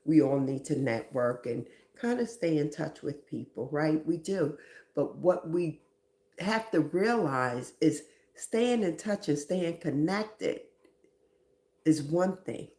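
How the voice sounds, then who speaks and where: A woman's voice: low-pitched, animated, slow pace, darkish tone, echoey room. A woman hosting a live stream, giving reflective motivational talk and often asking "right?".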